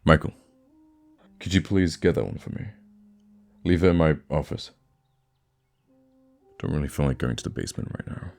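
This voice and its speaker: A man acts out a theatrical male villain in an ASMR roleplay, his voice rumbling extremely low with a gravelly creak. He is dramatic and teasing, yet flustered and fond toward his nemesis. Music plays faintly behind him. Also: darkish tone, full character voice. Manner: dramatic, flustered, teasing, stammering when thrown off.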